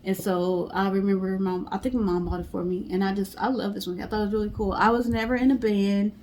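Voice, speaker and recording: A woman's voice: medium pitch, level tone, fast talker, a little mellow, slight background noise, rough recording. A woman co-hosting a storytime show in casual couple chat, conversational, asking questions and often saying "like". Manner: conversational, asks questions, frequent "like".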